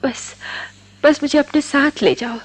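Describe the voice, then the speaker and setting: Young college girl in an old style radio drama, high voice, defiant, lovestruck, very theatrical. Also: measured pace. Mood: ardent devotion. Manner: impassioned, poetic, theatrical. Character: defiant young college woman desperately in love. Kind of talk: Hindi radio drama acting.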